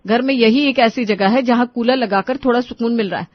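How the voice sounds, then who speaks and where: A woman's voice: a little high, a little fast, no room sound, dull audio, close to an everyday voice. A woman voicing a scheming, complaining young daughter-in-law in a cartoon story. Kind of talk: acted dialogue in a cartoon story.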